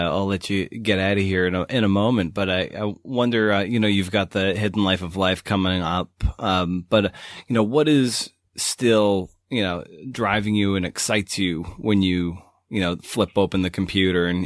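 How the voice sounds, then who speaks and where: Guy hosting a podcast, low voice, dry sound, casual and conversational. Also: no echo. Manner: informal, peppered with "you know". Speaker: podcast host.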